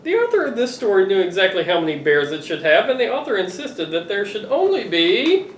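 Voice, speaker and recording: A middle-aged man reading a picture book aloud to children, expressive and playfully exasperated. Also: sits high, brisk. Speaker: father reading to his children. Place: living room sofa, indoors. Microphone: camera a few feet away. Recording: roomy, distant home recording with noise.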